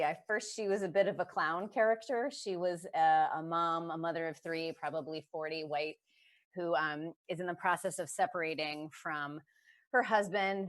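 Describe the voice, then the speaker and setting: A woman on a panel talking candidly and casually about her writing as a playwright. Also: fairly low pitch.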